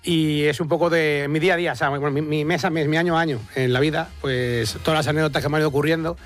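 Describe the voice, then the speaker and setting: A man's voice: fairly high pitch, fairly even intonation, fast talker. A man from Aranjuez in central Spain with a Toledo accent, chatting casually as a comedian guest in a rambling, hesitant, self-deprecating way. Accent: Toledo, central Spanish. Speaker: stand-up comedian, interview guest. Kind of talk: casual radio chat.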